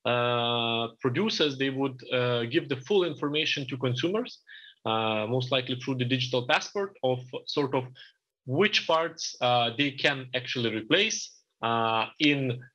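Halting man on a lo-fi video call. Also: mid-range voice, expressive, a bit bright. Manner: halting, many restarts and fillers. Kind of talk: panel discussion answer by video call. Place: office. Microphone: video call webcam. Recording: dull lo-fi video call audio.

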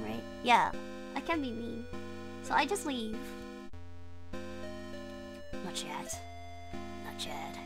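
A young woman with a non-native English accent streaming a horror game, chatting with her viewers and shrieking and giggling when startled. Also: sits high. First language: not English. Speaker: virtual streamer. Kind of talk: live gameplay commentary reading chat. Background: game music.

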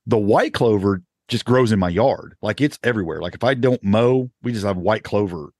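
A man's voice: on the low side, normal speed, a little mellow, no room sound, clean studio sound. A man co-hosting a homesteading podcast, chatting casually and rambling with lots of restarts. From Indiana. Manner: rambling, repeats himself, many restarts. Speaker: podcast co-host. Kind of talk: casual gardening chat.

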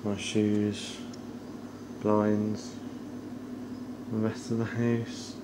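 A middle-aged man giving a casual, low-key, off-the-cuff house tour as he walks around indoors with a handheld camera. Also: completely flat delivery, extremely slow. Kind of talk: casual off-the-cuff narration while filming. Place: indoors, walking through rooms of a house. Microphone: handheld camera at arm's length. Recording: noisy handheld camera audio.

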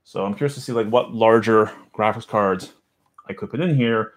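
A man's voice: on the low side, moderate pace. A man in his thirties chatting casually in a small home office, rambling and muttering as he works on a computer case. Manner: rambling, self-deprecating, mutters while handling parts. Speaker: streamer unboxing a computer. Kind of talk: casual live chat while working. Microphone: boom mic near desk.

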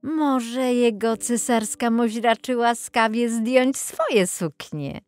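A woman's voice: fairly high pitch, soft background music, voice pushed up. Woman as a fawning, drawling swindler tailor. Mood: ingratiating. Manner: gushing, drawn-out flattery. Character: fawning swindler tailors, men, flattering the emperor.

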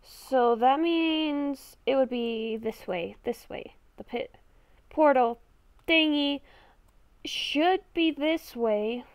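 A woman's voice: high-pitched, slow pace, no room sound. A woman improvising in-character game roleplay, talking to herself in murmurs and exclamations. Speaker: gaming video creator playing a roleplay character.

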